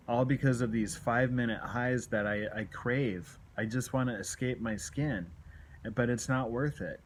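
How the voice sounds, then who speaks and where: A man in his late forties talking candidly to camera in an earnest, exhorting, confessional way, often repeating his points for emphasis. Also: mid-range voice. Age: late 40s. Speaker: self-help video blogger. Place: small room at home, indoors. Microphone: phone at arm's length.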